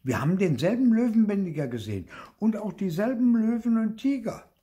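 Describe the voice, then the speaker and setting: Man voicing a cheeky high-pitched schoolgirl. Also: very animated, a little slow, dark tone. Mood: boastful. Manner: insistent, defiant, boastful. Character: cheeky, bossy schoolgirl, the older sister.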